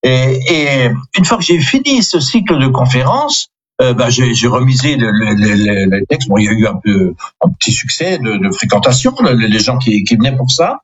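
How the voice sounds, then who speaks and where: Older priest guy, alsatian native, husky, keeps apologizing and wandering off, sounds muffled. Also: medium pitch, expressive, normal speed. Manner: rambling, self-deprecating, fond of superlatives. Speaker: priest, interview guest. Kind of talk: conversational interview answers.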